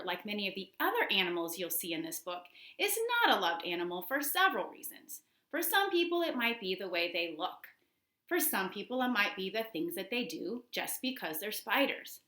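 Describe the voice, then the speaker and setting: A woman teacher reading children's nonfiction aloud, addressing young listeners directly with deliberate, instructional phrasing. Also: medium pitch, pushed and bright, reverberant.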